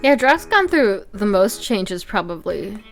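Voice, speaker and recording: A woman's voice: mid-range voice, sing-song, measured pace, soft background music. A woman hosting a casual chat in an easygoing, giggly manner. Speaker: host, artist. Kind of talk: casual chat, video commentary.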